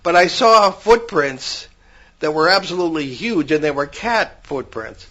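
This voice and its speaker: A man's voice: a little high, lively intonation, measured pace, bright, forceful tone, highs cut off. An older man co-hosting a paranormal podcast, telling long stories with frequent restarts in casual chat. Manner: rambling, many restarts, storytelling.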